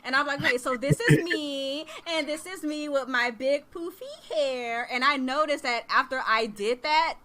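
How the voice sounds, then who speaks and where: A woman in her thirties, a kindergarten teacher hosting a casual chat over a video call, animated and expressive, laughing often. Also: high-pitched, normal speed, hardly any pauses. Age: 30s. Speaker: kindergarten teacher and podcast host.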